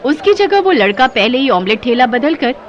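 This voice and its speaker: A woman's voice: high-pitched, big pitch swings, moderate pace, highs cut off. A woman delivering scripted moral-story narration as the narrator.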